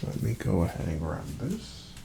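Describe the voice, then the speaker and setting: A man speaking softly and breathily, often near a whisper, in a gentle, reassuring ASMR doctor roleplay. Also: low voice, huge pitch swings, heavy background noise. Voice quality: soft-spoken, breathy, often dropping to a whisper. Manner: gentle, reassuring, unhurried murmuring to the listener. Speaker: ASMR performer playing a doctor. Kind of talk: ASMR medical exam roleplay.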